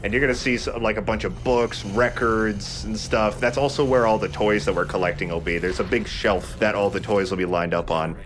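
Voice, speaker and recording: A man's voice: lowish voice, a little fast, hardly any pauses, noisy background. A man hosting a video game let's play, chatting casually in a joking, casually profane way. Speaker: let's play host and player. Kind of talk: casual gaming commentary.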